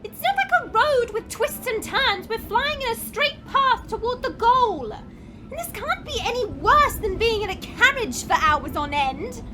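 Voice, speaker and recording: A woman's voice: very high-pitched, sing-song, measured pace, music in the background, full character voice. A woman playing a patient, dignified dragon princess in a fantasy audio drama, speaking in a poised, formal way.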